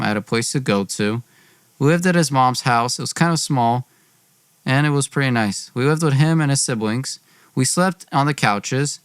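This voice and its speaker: A man's voice: mid-range voice, fairly bright tone, clear, resonant tone, dry recording. A young man hosting a podcast, telling a personal story in an informal, dramatized way. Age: young adult.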